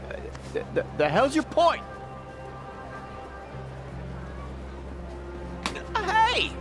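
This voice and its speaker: A man's voice: very high voice, wildly swooping pitch, unhurried, heavily acted. A man playing a slippery Hawaiian taxi driver and small-time crook in a video game, chatty and smug but cowardly, prone to stammering. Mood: flustered, angry. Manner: chatty, stammering when flustered. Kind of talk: English video game cutscene dub acting. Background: music.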